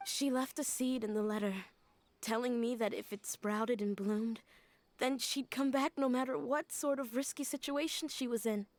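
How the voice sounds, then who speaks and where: A woman voicing a young game heroine, high voice. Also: steady tone, moderate pace, lightly acted. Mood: wistful. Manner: earnest, hurt and searching. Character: young woman grieving a lost teacher.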